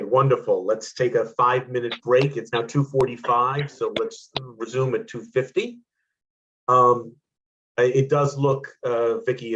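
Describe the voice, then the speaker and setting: Halting, encouraging man on muffled call audio. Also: medium pitch. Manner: halting, repeats and restarts phrases; encouraging, appreciative. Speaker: host of an online play reading. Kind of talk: casual chat between readings. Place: remote online group call.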